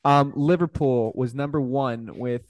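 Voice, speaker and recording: A man's voice: medium pitch, a little slow, dry recording. A man co-hosting a podcast in casual, amused conversation about soccer. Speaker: podcast co-host.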